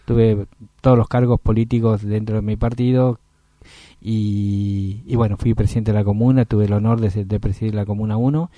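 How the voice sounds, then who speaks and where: A man from Tucumán with an Argentine accent, co-hosting a talk show and speaking casually about politics. He talks haltingly, repeating words and using many fillers. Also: fairly low pitch, steady tone, dry recording, muffled recording. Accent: Argentine Spanish. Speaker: co-host, local politician.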